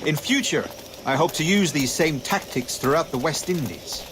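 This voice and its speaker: Man playing a posh sea captain, clipped and smug, bit high, lively pitch, noisy game audio. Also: moderate pace, natural voice. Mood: composed. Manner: clipped, genteel and self-assured. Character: composed, pompous male naval captain. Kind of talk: video game cutscene acting.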